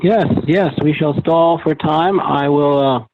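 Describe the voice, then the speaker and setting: A man's voice: fairly high pitch, measured pace. A man talking informally and jokingly as a club vice president, a little hesitant, on a video call. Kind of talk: informal meeting talk. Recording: dull, noisy video-call audio, clicks.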